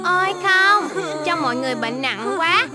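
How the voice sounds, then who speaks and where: A woman's voice: very high-pitched, animated, normal speed, loud music behind the voice. A woman voicing a cheerful, eager little baby shark child in a children's cartoon dub, giggly and exclamatory. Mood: eager, helpful.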